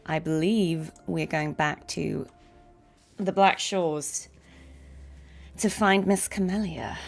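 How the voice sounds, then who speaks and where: A woman chatting casually and informally to viewers while livestreaming a game. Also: low voice. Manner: chatty, informal, chuckles easily. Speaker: voice actress livestreaming gameplay. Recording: faint game music behind voice. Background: faint game music.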